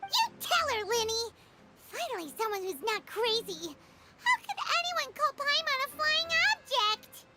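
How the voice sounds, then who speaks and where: A woman voicing a tiny, childlike, excitable floating fairy companion in a video game, given to indignant exclamations. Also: very high voice, measured pace, very noisy, exaggerated voice. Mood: excited. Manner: speaks of self in third person, exclaims. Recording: music under the voice.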